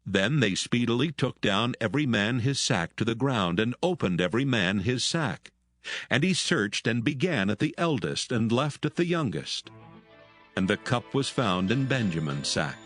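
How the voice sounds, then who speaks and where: A man's voice: mid-range voice, big pitch swings, moderate pace, a bit bright, no echo, studio quality, soft background music. A man narrating solemn scripture as part of a dramatised audio Bible.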